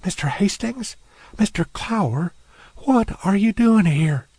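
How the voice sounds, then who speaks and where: A man voicing a sharp, indignant young woman. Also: sits high, expressive, takes it slowly, breathy edge, no room sound, voice pushed up, exaggerated voice. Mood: upset. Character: angry alarmed young woman.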